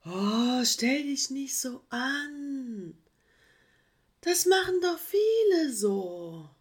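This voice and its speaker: A woman's voice: high voice, sing-song, drawn-out words, slightly rough, pitched above the performer's own voice, full character voice. Woman doing a drawling lazy sloth guy. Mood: relaxed. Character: lazy, laid-back male sloth.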